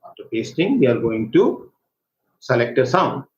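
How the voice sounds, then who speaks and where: A man with an Indian accent giving a live step-by-step software tutorial as an instructor, haltingly, often checking for agreement with short tag questions. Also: medium pitch, big pitch swings. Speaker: workshop instructor. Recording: echoey room, dull lo-fi sound, faint noise.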